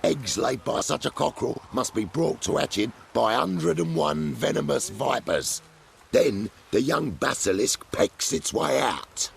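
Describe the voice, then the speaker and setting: An actor playing a pompous, swindling street showman with gravelly, theatrical patter in a fantasy game. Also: mid-range voice, expressive, measured pace, a little hiss or hum. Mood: boastful. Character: swindling male street showman boasting of fake monsters. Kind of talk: scripted fantasy game acting.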